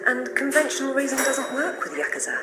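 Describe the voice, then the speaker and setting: A woman's voice: sits high, level tone, fairly quick, lightly acted. An actress playing a confident female adventurer archaeologist, dry and self-assured. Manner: cool, wry and self-assured. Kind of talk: video game cutscene acting. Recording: game audio under loud music.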